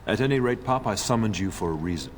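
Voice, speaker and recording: Man as older fatherly lord, jovial, low voice with animated swings, game score under. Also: fast, slight background noise, natural voice. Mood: hearty. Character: noble older male lord, hearty father.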